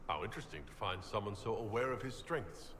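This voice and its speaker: Calm game hero, lowish bright voice, terse, echoey. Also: male voice, brisk, slight background noise. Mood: calm, probing. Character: calm, direct male inquisition leader, fantasy game hero. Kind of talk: video game dialogue.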